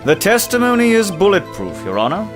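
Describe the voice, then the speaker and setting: A man's voice: sits high, wildly swooping pitch, a little fast. A man playing a smug, cutting prosecutor. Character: smug arrogant young male prosecutor. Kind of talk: fan dub game voice acting. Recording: with background music.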